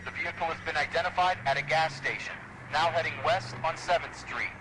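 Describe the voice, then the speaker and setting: An actor playing a businesslike police radio dispatcher relaying a bulletin in a video game. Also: male voice, fairly high pitch, fairly quick, lightly acted. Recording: very noisy car radio dispatch.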